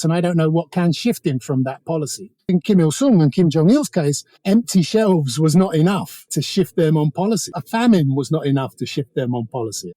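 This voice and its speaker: Dark-toned Englishman, higher-pitched, talking discursively. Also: no echo. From England. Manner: discursive, opinionated, wry. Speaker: interview guest, author. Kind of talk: conversational analysis.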